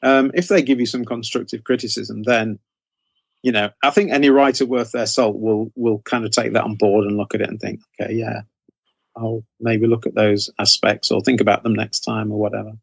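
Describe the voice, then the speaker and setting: A man's voice: on the low side, steady tone, fairly quick, vocal fry, husky, no echo. A middle-aged man giving conversational interview answers as a guest author, rambling a little as he repeats and rephrases.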